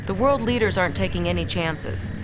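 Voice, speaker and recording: An actress playing a brisk young army lieutenant delivering crisp, formal briefings in a game cutscene. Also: female voice, on the low side, noisy background, natural voice. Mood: grave.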